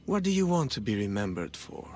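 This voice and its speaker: An actor playing a philosophical, quietly menacing cult storyteller in a video game, speaking in a measured, eloquent, theatrical way. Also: male voice, on the low side, very animated. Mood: reflective, courteous. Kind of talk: video game dramatic acting. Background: music.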